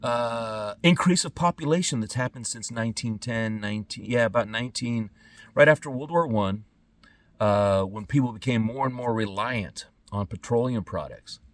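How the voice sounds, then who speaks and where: A middle-aged man speaking an unscripted podcast monologue in emphatic, halting phrases with pointed pauses. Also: mid-range voice, dry recording, recorded from a distance. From born in Trinidad and Tobago, lived in California. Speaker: podcast host.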